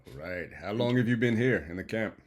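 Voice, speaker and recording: A man with a fairly low voice leads a video-call class. He greets each student warmly and laughs often as he teases them. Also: expressive, fairly quick. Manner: warm, laughing, teasing the students. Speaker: program instructor. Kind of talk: online class introductions.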